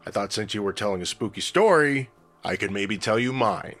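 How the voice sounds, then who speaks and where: An actor playing a chatty, eager-to-please bartender in a comedy-horror radio play, friendly and a little intrusive. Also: male voice, on the low side, animated, moderate pace, fairly bright tone. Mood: apologetic. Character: chatty, eager-to-please bartender who owns a spooky bar.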